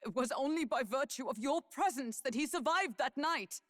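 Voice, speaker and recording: A woman's voice: sits high, animated, normal speed, a bit bright, no echo, clean studio sound. A woman playing an earnest, righteous fantasy game adventurer who speaks in a formal, old-fashioned way. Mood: shaken, disbelieving. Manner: formal, earnest, archaic phrasing.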